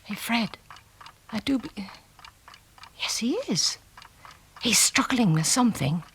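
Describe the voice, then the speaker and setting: An actress playing a nosy, bossy British wife in a 1970s radio drama, with a sharp-tongued, insistent manner. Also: female voice, on the high side, animated, slow pace, slight background noise. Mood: nosy, excited.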